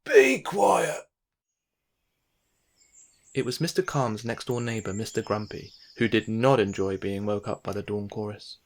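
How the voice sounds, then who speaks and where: A man's voice: lowish voice, fairly even intonation, normal speed, few pauses, a little hiss or hum. Man reading a children's storybook aloud. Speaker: storybook narrator. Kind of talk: children's read-aloud narration.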